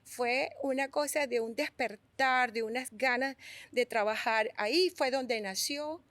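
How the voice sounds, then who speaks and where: Venezuelan woman, middle-aged, higher voice, dry close mic, animated storyteller with many restarts. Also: unhurried, no echo. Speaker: guest sculptor. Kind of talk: conversational storytelling.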